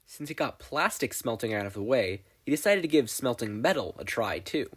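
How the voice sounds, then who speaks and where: Lively, husky-voiced man reading a story. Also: mid-range voice, fairly quick. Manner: playful, wry storytelling. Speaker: podfic reader. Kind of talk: expressive scripted fan fiction narration.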